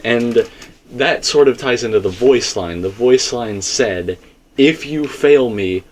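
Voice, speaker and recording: A man's voice: mid-range voice, slow, a little mellow. A man in a casual theory discussion, explaining his points emphatically and circling back to them. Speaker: video game theorist.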